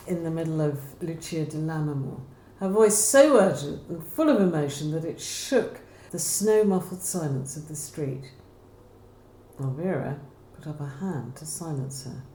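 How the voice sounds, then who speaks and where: A woman's voice: sits low, warm tone. A woman reading scripted narration from a novel, telling the story aloud. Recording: roomy echo, faint background noise.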